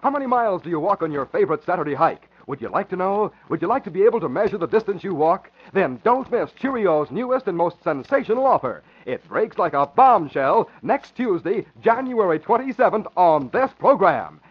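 A man's voice: sits high, big pitch swings, a little fast, hardly any pauses, slightly rough. An American man announcing in scripted 1940s radio style, with an emphatic, promotional delivery. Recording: muffled vintage radio broadcast.

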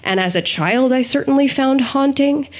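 A woman's voice: high voice, normal speed. A woman co-hosting a podcast, talking in a reflective, analytical way with articulate, thoughtful phrasing. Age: adult. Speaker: podcast co-host.

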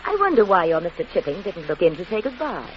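A woman's voice: lowish voice, sing-song, quick pace. An actress playing a gossipy, teasing lady travelling companion with an arch manner, in an old-time radio drama. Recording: dull, noisy old radio recording.

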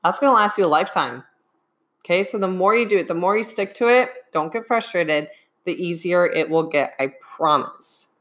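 Young adult woman, fitness coach, slightly low voice, hoarse from a sinus infection, checks in with "okay?" all the time. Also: expressive, moderate pace, a bit bright. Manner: keeps asking "okay?". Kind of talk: live-streamed advice talk.

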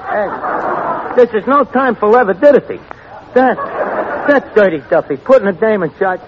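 Casting: put-upon, wisecracking New York tavern manager, animated delivery, muffled 1940s radio comedy sound. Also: male voice, sits high, normal speed, crowd noise. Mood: indignant. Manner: wisecracking, mangles words and grammar. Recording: muffled, noisy old radio broadcast.

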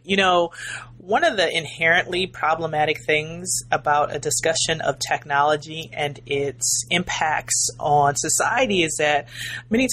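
A woman's voice: sits low, fairly bright tone. A woman speaking as a podcast host, introducing and interviewing. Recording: clear background noise.

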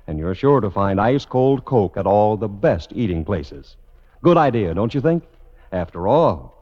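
Animated male vintage radio announcer. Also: mid-range voice. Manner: polished, formal presenting. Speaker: radio announcer and show host. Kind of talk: scripted old-time radio narration and sponsor reading. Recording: dull vintage radio recording, faint music.

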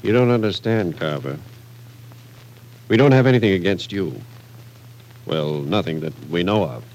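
1940s radio drama, American police captain, lowish pitch, patient, probing, measured. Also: male voice, lively intonation, a little mellow, poor audio. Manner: measured, persistent questioning.

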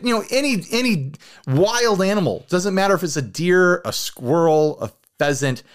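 A man chatting casually as a podcast co-host, telling stories in an animated way and slipping into mock quotes. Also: high-pitched, moderate pace. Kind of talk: casual chat about hobby gear.

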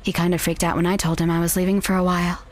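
A woman's voice: on the low side, level tone, racing, natural voice. An actress playing a cold, manipulative young woman who talks down to her rival in an audio drama. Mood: condescending. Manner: condescending, dismissive.

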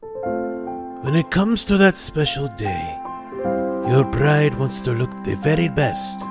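A man plays an alien shopkeeper selling wedding dresses in a spoof radio advert. His pitch swings around as he makes slow, salesmanlike promises, all under loud new-age music. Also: very animated, creaky, heavily acted. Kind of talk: parody radio advert read in character.

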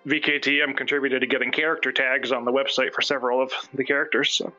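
Bright-voiced wry man, lo-fi audio. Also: medium pitch, level tone, fast talker, faint music behind. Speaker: guest writer reading own fiction. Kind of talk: casual joking chat and document reading. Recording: dull lo-fi stream audio.

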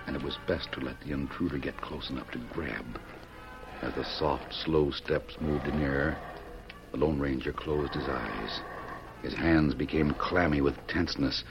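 Male narrator, very deep, solemn, suspenseful, classic radio drama, muffled recording, music underneath. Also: creaky. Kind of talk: dramatic old-time radio scripted narration. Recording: dull vintage radio recording.

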